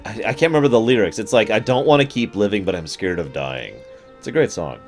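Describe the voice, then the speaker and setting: Male streamer, low voice, lively swings in pitch, chatty running commentary, faint music underneath. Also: fast. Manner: chatty, talks to chat, swings into mock outbursts. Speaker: game streamer. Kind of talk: casual live gameplay commentary.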